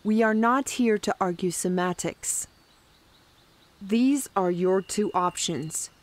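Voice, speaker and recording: A woman playing a hostile, stern townswoman in a fantasy roleplay audio drama, deliberate and accusatory. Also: medium pitch, natural voice. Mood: stern accusation.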